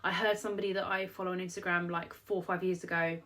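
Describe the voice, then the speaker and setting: A young woman with a British accent talks casually to camera, rambling through her purchases with plenty of fillers. She has a cold, so her voice sounds a little nasal and bunged up. Her voice sits slightly on the low side for a woman. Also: little pitch movement, fast talker, sharp tone. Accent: British English. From England. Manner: chatty, rambling, many "um" and "like". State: blocked nose from a cold. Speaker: vlogger. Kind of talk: casual haul vlog talk to camera.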